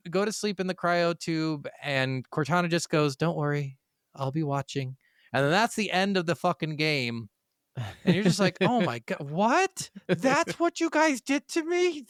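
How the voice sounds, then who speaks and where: A man co-hosting a podcast, chatting casually about video games in an animated, sweary, slangy way. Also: a little high, no echo, studio quality. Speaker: podcast co-host.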